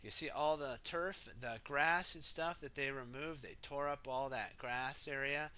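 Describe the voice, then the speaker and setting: Bright, unhurried man reading to children. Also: medium pitch, smooth. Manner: exclaims and repeats words for young listeners. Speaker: storyteller reading a children's picture book. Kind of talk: animated read-aloud with ad-libbed asides.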